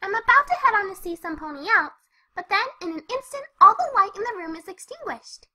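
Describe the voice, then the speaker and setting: Very high, bright, bubbly cartoon pony. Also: female voice, a little fast, heavily acted. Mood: animated storytelling. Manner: perky, chatty, expressive. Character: bubbly, excitable young female cartoon party pony. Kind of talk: first-person storybook narration with character dialogue.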